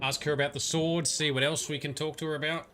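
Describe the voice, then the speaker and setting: Chatty bright-voiced male streamer. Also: on the high side, flat delivery, fast, a little hiss or hum. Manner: chatty, rambling, muttering asides and complaints. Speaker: livestreamer playing a game. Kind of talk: casual live gameplay commentary.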